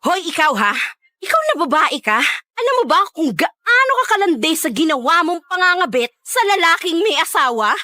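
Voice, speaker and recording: A woman's voice: extremely high pitch, sing-song, moderate pace, pushed and bright, no room sound, heavily acted. A Filipino woman acting in a radio drama as a wronged, haughty wife, indignant, biting and confrontational. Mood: furious, scornful.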